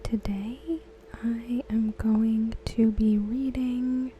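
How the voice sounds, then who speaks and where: A woman speaks softly in an ASMR style, reading in a breathy near-whisper with a very dark, relaxed tone. Her delivery is slow, gentle and soothing, close to the mic. A faint background noise sits behind her voice. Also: medium pitch, rough recording. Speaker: ASMR host. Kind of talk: soft-spoken ASMR reading.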